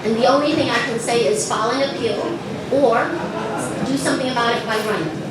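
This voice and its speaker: A woman's voice: a little high, a little fast. A woman who came from Vietnam, speaking earnestly as a judicial candidate at a community breakfast, with many restarts. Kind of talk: campaign speech at a breakfast meeting. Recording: echoey room, far from the mic, noisy.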